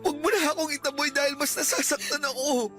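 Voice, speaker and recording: An actor playing a devoted young boyfriend in a radio drama, reassuring softly and pleading through tears. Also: male voice, extremely high pitch, fast talker. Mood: comforting. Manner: soft, reassuring, tearful pleading. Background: music.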